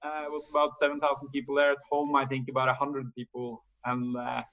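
Male guest, 40s, measured and reflective; monotone delivery, dull recording. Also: medium pitch. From Norway. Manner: thoughtful, measured storytelling. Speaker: podcast guest. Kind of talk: casual interview answers.

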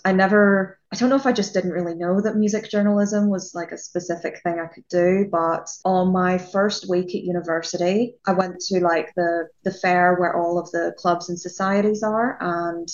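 A woman's voice: on the low side, steady tone, normal speed, few pauses. Young Northern Irish woman, chatty. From Northern Ireland. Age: young adult. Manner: chatty, fillers and restarts, trails off softer. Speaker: guest, music journalist. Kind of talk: casual interview chat. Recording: lo-fi dull audio.

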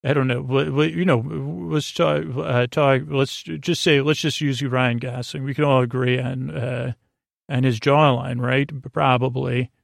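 A man hosting a sleep podcast with a goofy, rambling bedtime monologue, haltingly trailing off and doubling back. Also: medium pitch, fairly even intonation, vocal fry, no echo, studio quality. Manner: whimsical, goofy.

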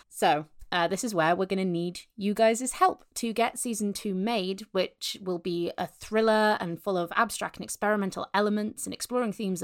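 A woman's voice: mid-range voice, dry recording, clean studio sound. A woman giving a casual, enthusiastic podcast promo in an informal, chatty way. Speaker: podcast promoter, voice actor and director.

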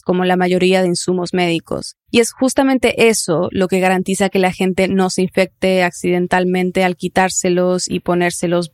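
A woman hosting a news podcast, narrating in a clear, explanatory way and asking follow-up questions. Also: fairly low pitch, dry recording, studio quality. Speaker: podcast host.

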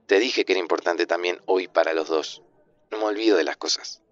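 Actor as a low, muffled Argentine man. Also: fairly quick, slight background noise, natural voice. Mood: apologetic. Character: affectionate, coaxing groom talking privately to old friend. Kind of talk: naturalistic audio fiction acting. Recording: dull walkie-talkie style sound.

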